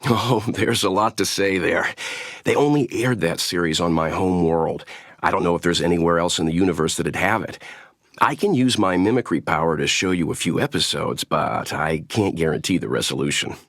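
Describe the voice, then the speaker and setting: Calm older-brotherly game mentor, seasoned star traveler, really low voice, a bit husky, dry close sound. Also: male voice, dry recording. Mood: friendly, musing. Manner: measured, reflective, gently avuncular. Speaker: video game character voice actor. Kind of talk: scripted game character voice lines.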